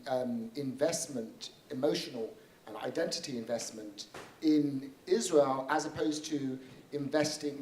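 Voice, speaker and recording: A man giving panel remarks at a conference, speaking thoughtfully with frequent pauses and restarts. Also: mid-range voice, reverberant, a little hiss or hum. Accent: non-American (said). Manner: thoughtful, hesitant with many restarts. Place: conference hall.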